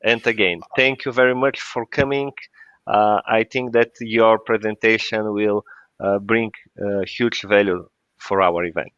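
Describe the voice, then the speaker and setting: A man's voice: on the low side, fairly even intonation, measured pace, a bit bright, clear, resonant tone, dry recording, muffled recording. A man hosting an online conference, introducing a speaker in a formal, hesitant way over a video call. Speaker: conference host.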